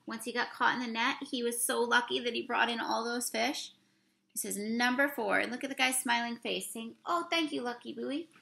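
Young female storyteller for kids' picture book read-aloud; raised pitch, noticeable fry, expressive and questioning delivery. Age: young adult. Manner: expressive, drawn-out words, asks the listeners questions. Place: small room indoors.

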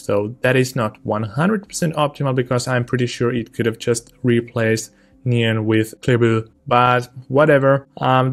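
A young man talks through a software tutorial in a casual, upbeat way. His voice sits at an ordinary pitch for a man, and he explains step by step, thinking aloud with little asides. He speaks from an indoor room. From Finland. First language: Finnish. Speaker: tutorial host. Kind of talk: casual screen-recorded tutorial walkthrough.

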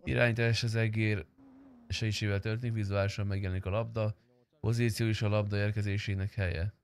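A man's voice: fairly low pitch, level tone, dry recording. A young man chatting casually while streaming a roleplay game on a close microphone. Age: young adult.

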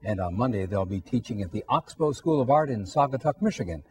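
A man's voice: medium pitch, animated, fast talker. A man anchoring a news program in a TV studio, introducing a story in an upbeat scripted delivery.

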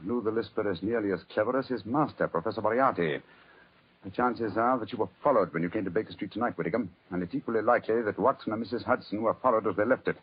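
A man playing a brisk English detective in an old radio drama. He is low-voiced and very quick, crisp and commanding, on a muffled recording. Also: a little hiss or hum, lightly acted. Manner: crisp, authoritative. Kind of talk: 1940s radio acting.

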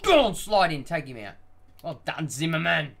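Give me a young man commentating his own football game on a live stream, excitable and swearing. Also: fairly high pitch, normal speed, creaky. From Australia. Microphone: headset.

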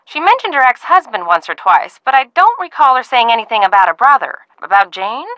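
A woman acting a kindly, fretful middle-aged ranch-owner aunt in a video game, talking in a folksy, motherly, expressive way. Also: on the high side, fairly quick, no echo, highs cut off. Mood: matter-of-fact, gossipy.

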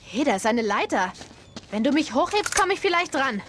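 A woman's voice: high-pitched, sing-song, moderate pace, fairly bright tone. A woman playing a plucky, practical young adventurer in a dubbed action game, urgent and projecting. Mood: eager, urgent. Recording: noisy ambience behind.

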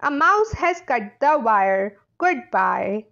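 A woman's voice: a little high, big pitch swings, slow pace, creaky, a bit bright, lo-fi sound, full character voice. A woman voicing an earnest storybook creature calling out on a telephone, exclaiming and stressing the words.

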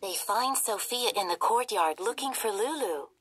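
A woman reading a children's story aloud in an expressive, theatrical manner. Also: mid-range voice, moderate pace, slightly rough, dry recording. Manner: animated, theatrical. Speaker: storybook reader.

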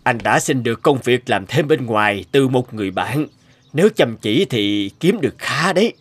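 An actor playing a weary, gruff man near sixty, a curt workaholic husband and father in an animated drama. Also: medium pitch, normal speed, no room sound. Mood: curt, hurried.

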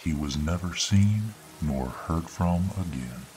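A man narrating a scripted horror story in ASMR style, with an ominous, drawn-out delivery. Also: low voice, lively intonation, heavy background noise. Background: background music.

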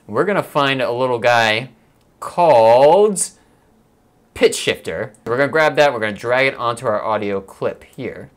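A man's voice: lowish voice, a bit bright. Casual young man. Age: young adult. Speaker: host of video editing tutorial show. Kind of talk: casual jokey tutorial chat. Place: small home studio room, indoors. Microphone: lapel mic.

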